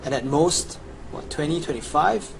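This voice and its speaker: A man's voice: on the high side, lively intonation, moderate pace. A young adult man giving a scripted promotional voice-over as a gamer presenting his guide. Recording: clearly noisy home recording.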